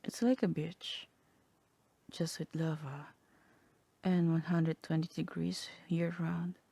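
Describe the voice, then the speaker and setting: A woman voicing a sly, teasing demon in a half-whispered ASMR roleplay, languid and wry, with a husky voice. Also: low-pitched, little pitch movement, takes it slowly, mellow, dry recording, exaggerated voice. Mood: teasing, coaxing. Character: sly, teasing demon tempting a human toward hell.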